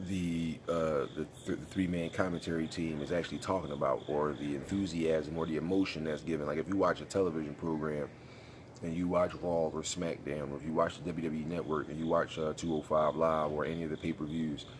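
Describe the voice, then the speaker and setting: Casting: congested young man, very low voice, slangy gaming vlogger, faint background hum. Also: fairly even intonation, fairly quick. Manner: laid-back, rambling, slangy. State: congested, sniffly. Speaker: gaming YouTuber. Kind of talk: casual vlog commentary.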